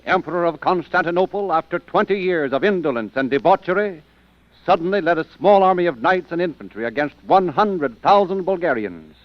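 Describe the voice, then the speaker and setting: An old, dull radio recording with faint music behind. A man with a fairly high voice narrates dramatically from a script in vintage broadcast style. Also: dry recording.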